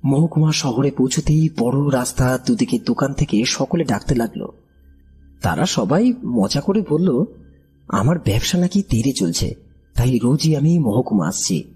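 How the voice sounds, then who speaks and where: An actor playing a bewildered village trader in an audio horror drama, speaking in an anxious, hesitant, pleading way with a husky, breathy voice. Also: male voice, medium pitch, expressive, unhurried, mellow. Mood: uneasy recounting. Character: frightened, bewildered village trader recounting his strange ordeal. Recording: background music under the voice.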